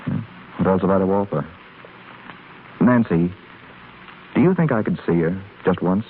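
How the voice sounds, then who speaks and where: A man's voice: sits low, moderate pace, close to an everyday voice. An actor playing an impulsive, earnest young rich man in a 1930s radio drama, hesitant and urgent by turns. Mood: pleading. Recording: muffled scratchy 1930s radio broadcast.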